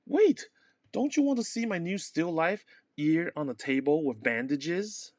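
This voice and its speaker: A man's voice: a little high, lively intonation, a little slow, darkish tone, dry recording, voice pushed up. A man playing a manic, lovelorn painter in a stage comedy, melodramatic and stammering with feverish passion. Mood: frantic pride.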